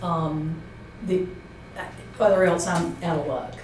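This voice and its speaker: Echoey, lower-voiced woman presenting a seminar. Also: steady tone, takes it slowly, darkish tone. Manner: explains step by step, checking points with a colleague. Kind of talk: informal instructional talk with questions to a co-presenter. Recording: roomy echo, distant mic, faint noise.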